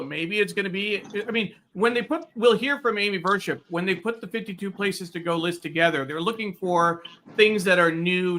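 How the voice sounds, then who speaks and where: A man co-hosting a casual live talk show, speaking in halting, measured asides. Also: sits high, pushed and bright, a little hiss or hum.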